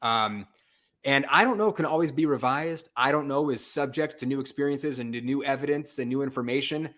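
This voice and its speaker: A man's voice: medium pitch, fairly quick, a bit bright. A man hosting a call-in show, chatting and telling stories casually with emphatic, slangy, informal phrasing. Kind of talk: casual chat, storytelling.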